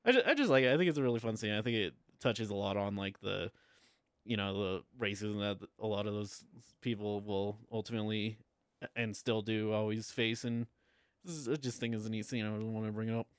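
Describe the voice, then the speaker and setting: A man's voice: lowish voice, fairly quick, clear, resonant tone, no echo. A man co-hosting a casual podcast, rambling with lots of fillers. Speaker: podcast co-host. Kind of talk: casual chat.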